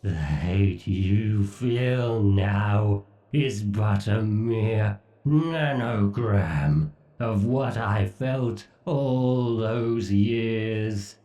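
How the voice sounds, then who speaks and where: A man playing an obsessive, sadistic AI god in a horror ASMR roleplay, with theatrical, menacing and condescending delivery in drawn-out, deliberate phrases. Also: lowish voice, warm tone, echoey room, recorded from a distance. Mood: seething hatred. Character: obsessive, sadistic male AI god villain, gloating and hateful.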